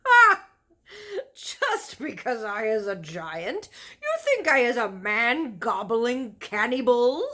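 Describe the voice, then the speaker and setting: A huge, blustering storybook giant, acted out by a woman, declaims in a forceful, swooping voice. The delivery is loud, emphatic and drawn out, full of indignant bluster and folksy, mangled grammar. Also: on the high side, big pitch swings, takes it slowly, voice pushed up, exaggerated voice. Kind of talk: children's storybook read-aloud.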